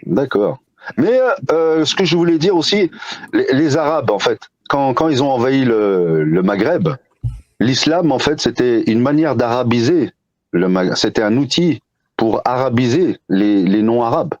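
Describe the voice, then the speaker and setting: A man talking in a casual live-stream debate, animated and prone to restarts. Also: medium pitch, normal speed, no echo, dull audio. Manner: animated, restarts, quotes others in put-on voices. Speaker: debate participant.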